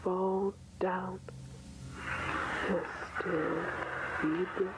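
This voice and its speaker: A woman playing an eerie motherly figure in a horror animation, crooning a lullaby in a hushed, drawn-out, breathy whisper. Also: fairly low pitch, flat delivery. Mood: eerie, soothing. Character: eerie motherly female singer of a creepy lullaby. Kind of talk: slow sung-spoken lullaby in horror animation. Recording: noisy lo-fi horror tape with clicks.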